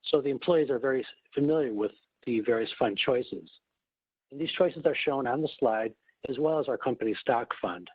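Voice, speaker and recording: A man giving a prepared, formal webinar presentation in a measured, explanatory manner, as a corporate executive. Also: mid-range voice, slightly rough. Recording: muffled phone line, roomy echo.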